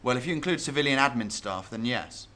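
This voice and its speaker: Radio drama intelligence chief, male actor: brisk, sharp-toned, fast, authoritative. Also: medium pitch, animated. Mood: tense, defensive.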